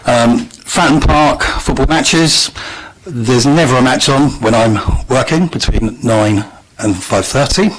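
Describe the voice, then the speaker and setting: A man who owns a small business, making a croaky-voiced, earnest statement at a council meeting. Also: medium pitch, rough recording. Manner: earnest, measured, frequent ums. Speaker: local business owner addressing council meeting.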